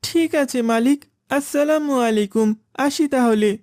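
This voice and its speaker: A young cartoon boy with a high, earnest voice. The delivery is childlike and eager, as in an animated moral tale, and the sound is close and dry. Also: a little slow, dry recording. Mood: polite. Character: young boys: spoiled eager son and gentle poor elder brother. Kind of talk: animated Islamic moral story voice acting.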